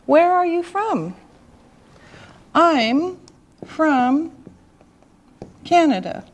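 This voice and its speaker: Woman teacher talking really slow n careful, kinda high voice. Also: big pitch swings. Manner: slow and deliberate, drawing out words. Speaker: English teacher. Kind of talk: slow, clearly enunciated classroom teaching.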